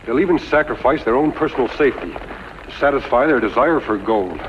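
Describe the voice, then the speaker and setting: A man's voice: medium pitch, animated, fairly quick, lightly acted. An actor playing an earnest, righteous masked lawman in a 1950s radio western, speaking precisely and declaiming. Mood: earnest, resolute. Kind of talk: 1950s radio drama acting. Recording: muffled, hissy old radio recording.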